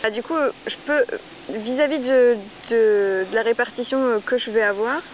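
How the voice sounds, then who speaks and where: A young woman from Normandy speaks in a slightly high voice, pausing often and restarting her sentences. The recording carries a light hiss underneath. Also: rough recording. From Normandy, France. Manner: hesitant, many fillers and restarts. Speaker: ecology student on internship. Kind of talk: filmed documentary portrait interview.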